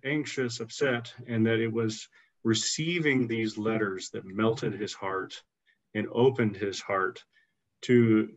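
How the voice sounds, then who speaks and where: A middle-aged man on a video call giving a measured explanation of history, pausing and restarting phrases. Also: mid-range voice. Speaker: historian guest. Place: indoor office with bookshelves. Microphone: video call computer mic. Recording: dull lo-fi video call audio.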